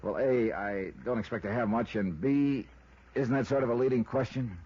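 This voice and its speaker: An actor with an American accent playing a wry, sardonic investigator in 1950s radio detective style. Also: male voice, mid-range voice, normal speed, darkish tone, husky, close to an everyday voice. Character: wry, hard-boiled male insurance investigator. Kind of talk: 1950s radio detective acting, first-person narration. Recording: muffled old radio recording with music.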